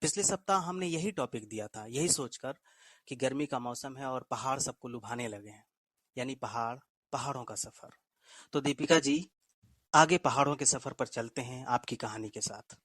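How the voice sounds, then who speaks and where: A man's voice: medium pitch, moderate pace, fairly bright tone, no echo. A man hosting a programme, delivering a poetic scripted introduction in formal, literary and flowery phrasing. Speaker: programme host.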